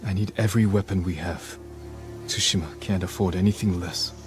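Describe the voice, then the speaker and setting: A man's voice: low-pitched, steady tone, fairly quick, lightly acted. A man playing a stoic, resolute samurai lord in a video game cutscene, with restrained delivery. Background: soft cinematic game music.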